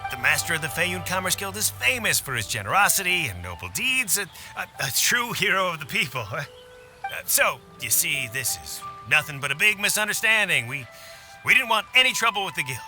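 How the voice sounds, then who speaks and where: A man's voice: high-pitched, very animated, fairly quick, over music. An actor playing a cowardly male bandit in a video game, stammering, nervous and pleading.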